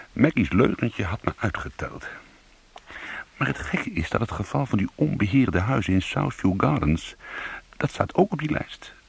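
Casting: streetwise, world-weary crook for radio noir, very raspy low voice, hard-boiled. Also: male voice, no room sound, heavily acted. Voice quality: husky, gravelly. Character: world-weary, streetwise male crook telling his own story. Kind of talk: radio crime drama, first-person narration and dialogue.

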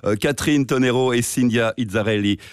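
Jovial Belgian radio host, dry studio sound. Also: male voice, medium pitch, a little fast, smooth, no echo. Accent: Belgian French. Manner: jovial, playful puns. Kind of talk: radio talk show hosting.

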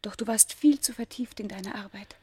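A woman acting a governor's noble daughter of ancient Egypt, passionately in love, with formal, breathy, poetic delivery in a German radio play. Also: medium pitch, normal speed, a little mellow. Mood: passionate, mournful.

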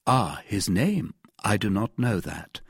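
A brave young guardsman in an audiobook, acted by a man, courtly and devoted. He speaks formally and earnestly in a husky voice with animated pitch, recorded very dry. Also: slow pace, no echo, heavily acted. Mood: courteous. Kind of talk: audiobook character voice.

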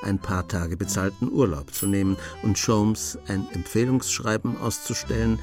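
A man narrating a scripted radio drama in a measured, storytelling manner. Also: on the low side, a little mellow, faint music behind.

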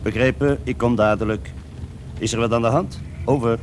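A man's voice: mid-range voice, fairly even intonation, moderate pace, natural voice. A man acting in a radio play as a businesslike patrol officer reporting in clipped radio procedure. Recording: clear vehicle noise behind.

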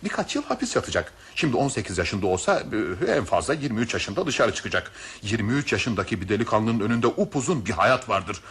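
Impatient fast-talking male train passenger. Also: fairly low pitch, very animated, fairly bright tone, breathy edge, full character voice. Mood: firm, argumentative. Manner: insistent, dismissive, formal. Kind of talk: Turkish radio theatre acting.

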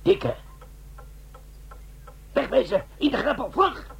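An actor playing a bumbling, blustering army sergeant turned crook in a comic crime radio play. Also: male voice, very high voice, big pitch swings, slow, gravelly, reverberant, distant mic, background noise, heavily acted. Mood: alarmed. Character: bumbling army bomb-disposal sergeant turned gold thief, excitable.

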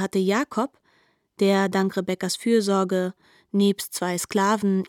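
A woman's voice: mid-range voice, a little mellow, no room sound, clean studio sound. A woman narrating a literary radio drama as an articulate storyteller.

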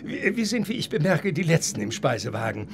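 Radio drama professor: learned, eccentric, creaky voice with very animated pitch, grand rhetorical delivery over music. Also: male voice, fairly quick, noisy background. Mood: courteous farewell. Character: eccentric, learned male professor lecturing grandly on life and nature. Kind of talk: German radio play acting. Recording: radio play with music underneath.

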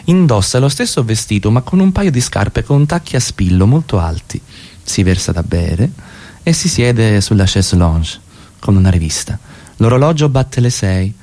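A man's voice: fairly low pitch, dark tone. A man reading out stage directions as the narrator of a radio play.

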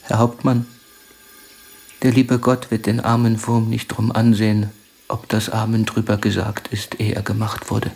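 A humble, downtrodden soldier in a radio play. His voice is husky and slow, with submissive, halting, plain-spoken delivery. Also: fairly low pitch, monotone, a little mellow, heavily acted. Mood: humble, bitter. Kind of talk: theatrical radio drama acting.

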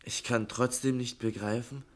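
An actor in a 1960s German radio drama playing an earnest, inquisitive schoolboy, deferential and hesitant but stubbornly probing. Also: male voice, mid-range voice, fairly even intonation, normal speed, close to an everyday voice. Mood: puzzled, insistent.

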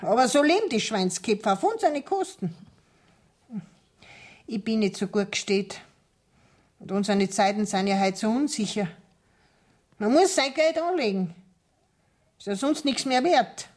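Husky, wheedling Bavarian widow. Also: female voice, a little high, expressive, unhurried, pauses often, darkish tone. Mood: confiding, grumbling. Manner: chatty, confiding, folksy. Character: talkative, aggrieved, wheedling sawmill widow, eager for profit. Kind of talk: dialect radio play acting.

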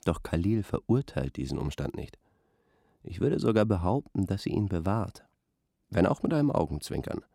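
A man's voice: low voice, dark tone, no room sound, clean studio sound. An actor playing an earnest, pompous male intellectual who analyses like an academic in a radio play. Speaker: expert guest on a radio discussion. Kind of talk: radio play acting as an interview.

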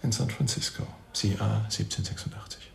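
Casting: suave middle-aged doctor under questioning, low gravelly voice, pompous and precise, radio play. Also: male voice, steady tone. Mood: formal, guarded. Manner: formal, precise, pompous wording with deliberate pauses. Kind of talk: radio drama acting, monologue testimony. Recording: roomy echo, faint background noise.

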